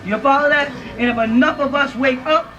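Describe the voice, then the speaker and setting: A man's voice: extremely high pitch, fairly quick. A man giving an emphatic, informal lecture to a live audience in a room, often checking in with his listeners. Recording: dull lo-fi audio from a distant mic.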